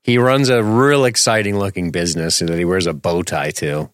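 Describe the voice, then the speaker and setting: A man's voice: sits low, fairly even intonation, moderate pace, no echo, clean studio sound. A man in his forties co-hosting a movie podcast, joking sarcastically and swearing freely in casual banter. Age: 40s. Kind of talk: casual profane banter about a film.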